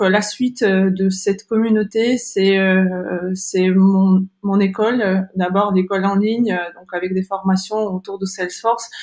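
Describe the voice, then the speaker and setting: A woman's voice: medium pitch, a little mellow. A woman from Kazakhstan with a Kazakh accent, hosting a live webinar on a video call, speaking in a hesitant way with many fillers and restarts. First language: not French. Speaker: webinar host, founder of a Salesforce training school.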